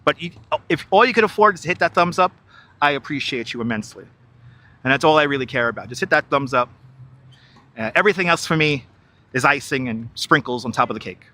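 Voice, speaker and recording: A man's voice: mid-range voice, expressive, fast, bright, forceful tone. A middle-aged man, walking outdoors by a river on a live stream, chatting with viewers and reading their comments aloud. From New York. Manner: chatty, repeats himself, reads and answers viewer chat. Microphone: wireless clip-on mic.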